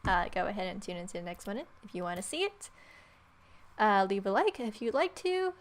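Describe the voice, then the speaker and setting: A woman's voice: medium pitch, sing-song, fairly quick. A woman hosting a gaming video, giving flippant, sarcastic commentary and improvised replies to characters.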